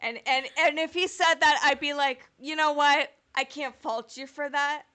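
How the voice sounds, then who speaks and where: A woman's voice: high voice, steady tone, moderate pace, pushed and bright. A woman co-hosting a podcast, chatting casually in a playful, joking, giggly manner. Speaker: podcast co-host. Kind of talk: casual chat.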